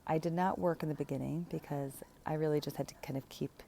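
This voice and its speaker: A woman's voice: deep voice, steady tone, fast talker, creaky, mellow, a little hiss or hum. A woman in her thirties, chatting casually in a personal vlog, rambling with fillers, self-corrections and light laughs. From United States. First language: English. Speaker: vlogger.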